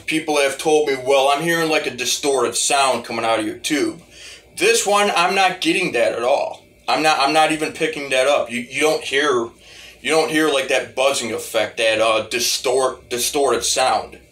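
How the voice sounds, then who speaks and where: A man giving a casual, rambling demo of music gear, halting and repeating his phrases as he goes. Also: mid-range voice, fairly bright tone. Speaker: hobbyist musician reviewing talk box gear.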